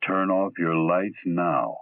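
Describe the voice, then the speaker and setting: Very slow, deep-toned radio horror host. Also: male voice. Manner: theatrical, drawn-out delivery. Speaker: host announcer. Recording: dull, narrow old radio recording.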